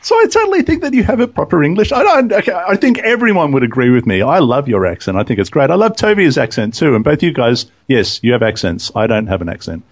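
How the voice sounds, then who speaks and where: A man with an Australian accent hosting a podcast, upbeat and animated as he reads out listener comments. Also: fairly high pitch, fast, hardly any pauses. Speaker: podcast host. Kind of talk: casual chat.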